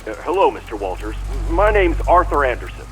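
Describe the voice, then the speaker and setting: A man's voice: fairly high pitch, sing-song, a little fast, a little hiss or hum, rough recording. A man voicing a nervous, polite businessman in a video game, hesitant and deferential as he asks for help. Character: nervous, polite male store manager's employer seeking help.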